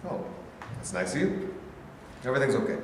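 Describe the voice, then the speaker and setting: A man's voice: medium pitch, very animated, brisk, slight background noise, lightly acted. An actor playing a frustrated, hot-tempered young working man, defensive and prone to outbursts, in a staged reading. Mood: restless. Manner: defensive, bursts into shouting. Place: large bare rehearsal studio. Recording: echoey room from a distance.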